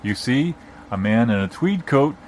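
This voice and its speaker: A man playing a pedantic, argumentative debater in an improvised audio comedy, restarting his points haltingly and insistently. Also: medium pitch, lively intonation, darkish tone, slight background noise, natural voice. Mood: indignant, pedantic. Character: indignant, pedantic male debater with muddled logic.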